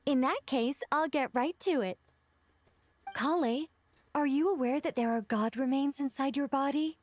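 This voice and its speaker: A woman voicing a calm, knowing and mysterious game character with a polite, deliberate delivery. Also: sits high, a little hiss or hum, lightly acted. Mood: explanatory. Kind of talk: video game voice acting, measured explanation.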